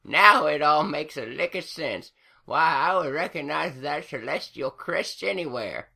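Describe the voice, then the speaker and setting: A man's voice: on the high side, expressive, a little slow, vocal fry, bright, forceful tone, voice pushed up, full character voice. Man doing a cackling old grandmother mare. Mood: fond. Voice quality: cracking old-lady voice with laughs. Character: elderly farm mare grandmother, folksy, fond.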